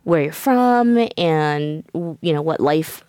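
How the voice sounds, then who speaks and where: A woman talk show host with a low, smooth voice. She talks in a chatty, loose way full of fillers and restarts. The sound is dry and close. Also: animated, takes it slowly, dark tone, dry recording. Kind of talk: casual interview.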